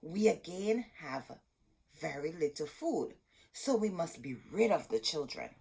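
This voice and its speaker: A woman's voice: low voice, lively intonation, unhurried, creaky, warm tone, breathy edge, heavily acted. A woman voicing a cold, bossy stepmother in a children's storybook, with an insistent, scolding manner. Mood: scheming.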